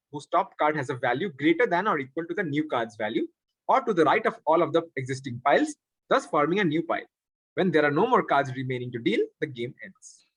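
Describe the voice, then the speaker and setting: A man's voice: a little high, fairly bright tone, slightly rough, no echo, low-quality recording. A man hosting a quiz, reading out questions and confirming answers. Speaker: quiz master. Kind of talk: reading quiz questions aloud, with spoken asides.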